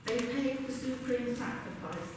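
An older woman reciting a narrative poem at a remembrance service, earnest, in a measured storytelling cadence. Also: a little high. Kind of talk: poetry recitation. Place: large indoor hall with a congregation. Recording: very echoey hall, distant mic, background noise.

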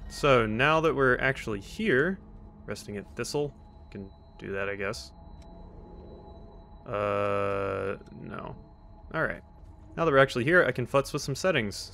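A man's voice: mid-range voice, sharp tone, slight background noise. A man giving casual let's play commentary in a dry, joking, sarcastic way. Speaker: gaming video host. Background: video game sounds.

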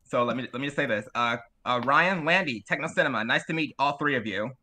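Nervous, stammering man with bright voice. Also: medium pitch, quick pace. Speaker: audience member asking a question. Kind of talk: casual panel Q&A talk.

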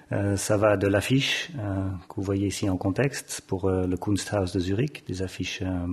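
Hesitant man lecturing, low husky voice. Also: darkish tone. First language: French. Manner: hesitant, often searching for words with many fillers. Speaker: graphic designer giving a lecture. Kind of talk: conference talk. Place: stage of a lecture hall, seated at a desk.

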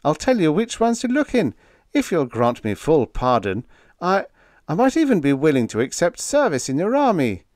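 A man's voice: mid-range voice, huge pitch swings, moderate pace, dry recording, clean studio sound, voice pushed up. A man voicing a gangly, nervous and earnest spaceship lieutenant, faltering and pleading in a pulp space story.

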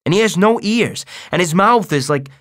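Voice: medium pitch, lively intonation, moderate pace, fairly bright tone, voice pushed up, close to an everyday voice. A narrator playing a blunt, defensive schoolboy with casual, emphatic kid talk in an audiobook. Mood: insistent. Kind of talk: audiobook first-person character voice.

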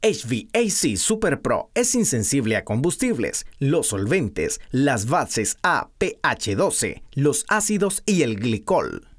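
Narrator with widely swinging pitch. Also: big pitch swings, slow pace, hardly any pauses. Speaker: instructional video narrator. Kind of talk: scripted product instruction narration.

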